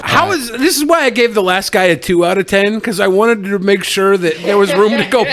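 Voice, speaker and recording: A man's voice: sits high, brisk, fairly bright tone. A man co-hosting a podcast, trading casual, mocking comedic banter as he reacts to clips. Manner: mocking, joking. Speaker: podcast co-host.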